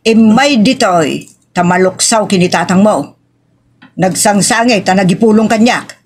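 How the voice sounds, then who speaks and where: A woman's voice: mid-range voice, measured pace, natural voice. A woman with an Ilocos accent playing a resentful older mother-in-law who speaks reproachfully in a drama. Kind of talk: Ilocano audio drama acting. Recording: music under the voice.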